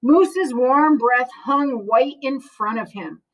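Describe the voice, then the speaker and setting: Children's storyteller: woman, high voice, casual with listeners, picked up from a little way off. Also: slow, recorded from a distance, low-quality recording. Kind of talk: read-aloud storytelling for children.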